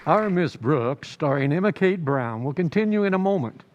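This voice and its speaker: A man's voice: fairly high pitch, lively intonation, normal speed, a loud crowd, heavy background noise. A man announcing an old-time radio show and its advertisement in a formal, emphatic style. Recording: dull, long-reverb recording.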